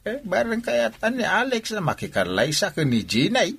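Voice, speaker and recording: A man's voice: high voice, wildly swooping pitch, normal speed, creaky, a bit bright, slightly rough, dry recording, full character voice. A man with an Ilocano accent playing a conniving, hesitant older father in a radio comedy-drama. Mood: explaining.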